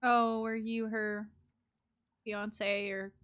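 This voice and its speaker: A woman's voice: medium pitch, flat delivery, slow, a bit bright. A woman live-streaming a video game, giving casual, informal and playful commentary. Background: game music.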